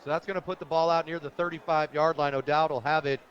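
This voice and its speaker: High-pitched American football play-by-play man. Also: steady tone, fast. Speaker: play-by-play radio announcer. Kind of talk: live football play-by-play commentary. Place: stadium press booth, radio broadcast. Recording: muffled broadcast audio, outdoor stadium noise.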